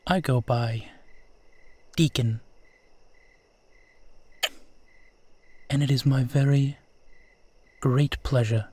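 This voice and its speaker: A courtly immortal vampire, played by a man, speaks very slowly in a mid-pitched voice. He is gentle and wistful, with formal, tender phrasing made for an intimate audio roleplay. The sound is close and dry. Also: dry recording. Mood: gracious, warm. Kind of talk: intimate scripted roleplay monologue addressed to listener.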